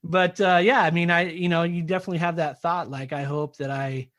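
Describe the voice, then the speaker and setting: A man's voice: fairly high pitch, fairly even intonation, brisk, fairly bright tone, no room sound, clean studio sound. A man chatting casually and hesitantly, thinking aloud. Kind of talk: casual chat.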